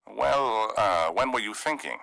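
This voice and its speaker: Slippery collector, gracious surface, animated pitch. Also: male voice, a little slow, gravelly, full character voice, poor audio. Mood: courteous. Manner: polite, evasive, lively pitch. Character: smooth, guarded male museum collector. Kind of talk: video game voice acting.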